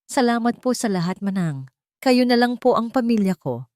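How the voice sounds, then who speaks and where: A woman voicing a gentle, grieving young male heir who speaks softly and respectfully. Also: on the high side, expressive, moderate pace, no room sound, pitched above the performer's own voice, close to an everyday voice. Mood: grateful. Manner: soft, respectful, apologetic.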